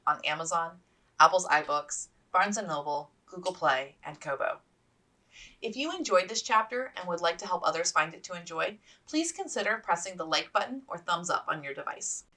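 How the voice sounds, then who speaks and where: A woman's voice: lowish voice, expressive, fairly quick, pushed and bright, recorded from a distance. A woman reading her own novel aloud as scripted audiobook narration, slightly off the microphone.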